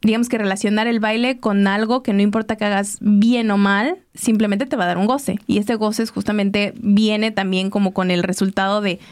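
A woman hosting a casual radio interview, often affirming her guests with soft laughs and fillers. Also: medium pitch, steady tone, normal speed, hardly any pauses. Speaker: radio show host.

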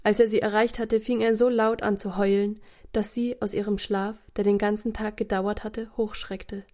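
Mellow female children's storyteller. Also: medium pitch, flat delivery, moderate pace. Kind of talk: scripted children's story narration.

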